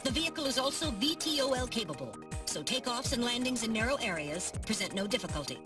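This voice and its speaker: A woman's voice: on the high side, big pitch swings, fast talker. A woman voicing a small, dutiful robot assistant in a video game, speaking in formal, precise phrases. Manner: formal, precise, informative diction. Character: small polite robot personal-assistant navigator, dutiful and earnest. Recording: distant voice under loud music.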